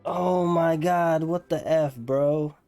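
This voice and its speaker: A man's voice: on the high side, steady tone, slow pace, warm tone, soft background music. A young man giving casual, slangy live reaction commentary as a gaming streamer. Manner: slangy, repetitive, incredulous exclamations.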